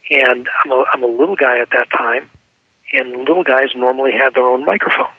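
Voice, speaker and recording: A man's voice: medium pitch, fairly even intonation, brisk, slightly rough, dry recording, phone-quality sound. An older man reminiscing casually as an interview guest. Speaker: guest, former child radio actor.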